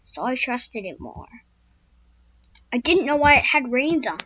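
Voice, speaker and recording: A woman voicing a young wild male horse, a skittish loner, telling his own story in first person with a squeaky voice. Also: expressive, unhurried, bright, forceful tone, lo-fi sound, slight background noise, exaggerated voice. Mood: wistful. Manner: first-person storytelling with restarts, turning frantic when scared. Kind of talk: amateur spoken story.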